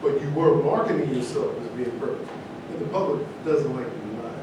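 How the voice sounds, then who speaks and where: A man's voice: brisk, lightly acted. A man in a staged reading, playing a skeptical former star who needles the others. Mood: needling. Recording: echoey room from far off, rumbling noise.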